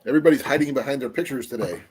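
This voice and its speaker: A man's voice: medium pitch, very fast, darkish tone, slightly rough, poor audio. Easygoing man hosting a live chat. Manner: rambling, trails off with fillers while looking things up. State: voice going slightly raspy. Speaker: livestream host. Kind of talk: casual live-stream chat.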